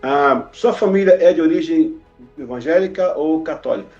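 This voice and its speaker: A man hosting a church podcast interview in a casual, chatty way, jumping in with quick reactions. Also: a little high, darkish tone. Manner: chatty, jumps in with short reactions. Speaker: podcast host. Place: podcast studio. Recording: roomy, muffled studio audio with faint music.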